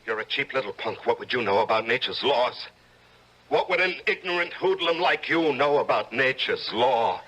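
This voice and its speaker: An actor playing a stern, overwrought judge on the edge of madness in an old-time radio drama, declaiming theatrically. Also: male voice, medium pitch, expressive, moderate pace, bright, forceful tone, poor audio. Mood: scornful, outraged.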